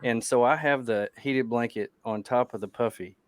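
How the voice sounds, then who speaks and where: A man's voice: medium pitch, normal speed, no echo. A man co-hosting a live podcast in casual chat, repeating phrases for emphasis. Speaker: podcast co-host.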